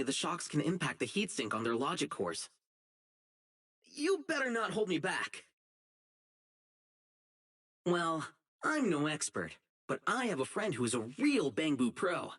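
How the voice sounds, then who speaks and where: A man voicing an earnest, righteous young public security officer in a video game, dutiful and excitable, with dramatic delivery. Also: on the high side, moderate pace, long pauses, slightly rough, heavily acted. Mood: businesslike, suspicious. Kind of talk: isolated game character voice lines, dramatic acting.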